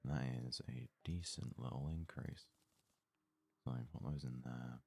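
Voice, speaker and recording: Very deep, creaky-voiced man murmuring softly. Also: steady tone. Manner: unhurried, murmuring, thinking aloud. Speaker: let's play host. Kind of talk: soft-spoken ASMR gaming commentary.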